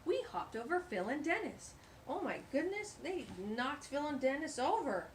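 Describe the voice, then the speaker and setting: A woman's voice: high-pitched, normal speed, a little hiss or hum. A woman hosting a children's storytime, reading aloud expressively with exclamations and chatty asides. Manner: animated, exclamatory.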